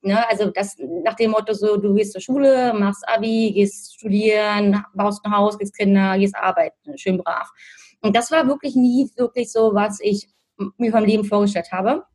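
Husky-voiced woman from Berlin. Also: mid-range voice, normal speed, a little mellow. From Berlin, Germany. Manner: chatty, laughs often, many fillers and restarts. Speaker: interview guest. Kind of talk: casual conversational storytelling. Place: in Australia.

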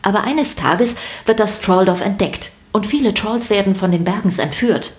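A woman reading scripted children's story narration in an expressive, playful way. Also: medium pitch, normal speed, soft background music.

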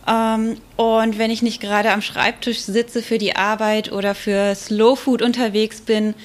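A woman speaking as an expert guest, explaining spontaneously in an interview in a measured way with hesitant pauses. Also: fairly high pitch, little pitch movement.

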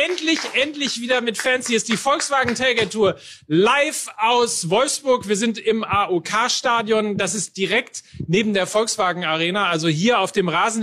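A man's voice: very high voice, noisy background. A man presenting a live football show to an audience in a chatty, jovial way, with some hesitations.

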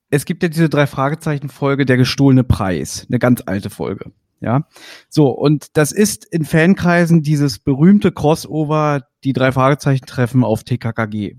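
A man's voice: medium pitch, darkish tone, no room sound, studio quality. A man hosting a podcast, asking long, winding questions like an eager fan. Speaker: podcast host. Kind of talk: casual interview questions.

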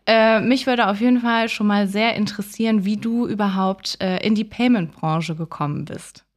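A woman's voice: medium pitch, normal speed. A woman hosting an interview podcast, introducing and questioning a guest. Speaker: podcast host.

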